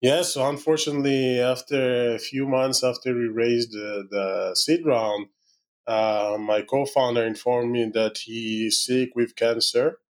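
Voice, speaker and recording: A man with an Israeli accent talks through his startup story in a podcast interview, his voice sitting at an ordinary middle pitch. He pauses often, leans on "you know" and "uh," and bends his English grammar as a second-language speaker. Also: flat delivery. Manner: halting, many "you know" fillers, non-native grammar. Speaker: startup founder and CEO.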